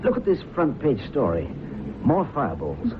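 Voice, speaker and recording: An actor playing a keen, curious reporter and husband in a vintage radio drama. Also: male voice, medium pitch, huge pitch swings, moderate pace. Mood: absorbed, intrigued. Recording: noisy, narrow-band old radio recording.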